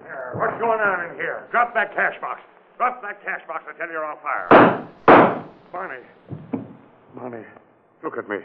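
Animated small-town police chief, old radio. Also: male voice, a little high, unhurried, pauses often. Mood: frantic. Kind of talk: 1940s radio crime drama acting. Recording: noisy, narrow-band old radio recording.

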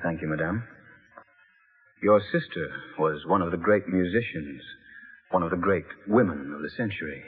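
A man's voice: sits low, moderate pace, pauses often, slightly rough, in a large hall, narrow, tinny audio. A man playing a suave, bold young adventurer and charmer, in theatrical old-time radio drama style. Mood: solemn. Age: young adult. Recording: thin vintage radio recording, faint music.

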